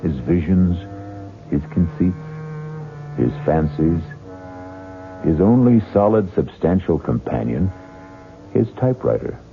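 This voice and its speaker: A man narrates a seventies radio drama with theatrical, brooding deliberation. His voice is gravelly and moves expressively up and down. It comes through a narrow old broadcast sound over clear music. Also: low-pitched, expressive, slow pace, long pauses.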